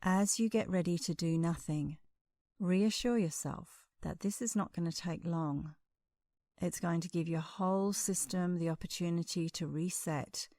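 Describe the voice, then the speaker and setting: Woman doing guided meditation, really slow n soothing, lots of pauses, slightly low voice. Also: no echo, clean studio sound. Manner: calm, soothing, measured delivery with long pauses. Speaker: meditation instructor and host.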